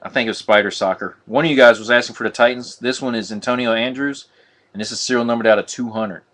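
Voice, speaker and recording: A man hosting a live card break on a stream, talking viewers through each pull in a flat, monotone way. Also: medium pitch, quick pace. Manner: monotone, says so himself; chatty, addresses viewers as guys. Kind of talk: casual live-stream commentary.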